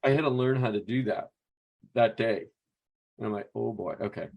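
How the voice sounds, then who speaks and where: A man's voice: mid-range voice, steady tone, moderate pace, lo-fi sound. An American man telling anecdotes casually as a podcast co-host and coach.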